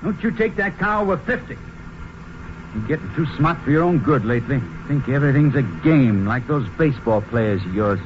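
A man's voice: mid-range voice, lively intonation, moderate pace, close to an everyday voice. An actor playing an irritable, bossy small-time car thief, a man with scolding, slangy rural speech in a radio drama. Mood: irritated, bossy. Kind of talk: 1950s western radio drama acting. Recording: muffled, noisy 1950s radio recording.

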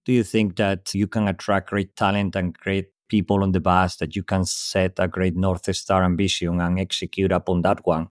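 A man's voice: deep voice, little pitch movement, normal speed, few pauses, dry recording, clean studio sound. A man from Spain speaking English with a Spanish accent, a guest giving thoughtful, structured answers in a podcast interview. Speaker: guest executive.

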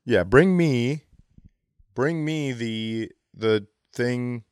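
A man's voice: medium pitch, lively intonation, very slow, darkish tone, smooth, no room sound, clean studio sound. A man co-hosting a comedy podcast, riffing in casual, profane, crude chat.

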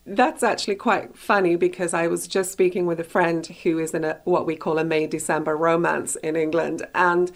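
A woman's voice: lowish voice, steady tone, moderate pace, hardly any pauses. A woman hosting a conversational author interview, asking questions and commenting. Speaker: radio show host.

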